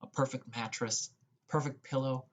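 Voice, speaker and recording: A young man narrates an audiobook in the first person, reading from a script. His voice sits at an ordinary middle pitch. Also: steady tone, moderate pace.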